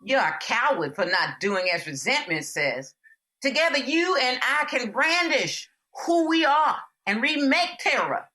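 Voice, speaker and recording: A woman's voice: sits high, big pitch swings, a little slow, pushed and bright, exaggerated voice. A woman playing Anger as a personified emotion in an audio drama, fierce, rebellious, brash and taunting. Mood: fiery urging.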